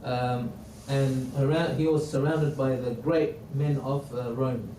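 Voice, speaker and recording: A man who is not a native English speaker teaching a religious history class in a mosque, halting with many restarts and quoting Arabic. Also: mid-range voice, fairly even intonation, dark tone. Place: mosque hall. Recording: roomy, distant mic, faint noise.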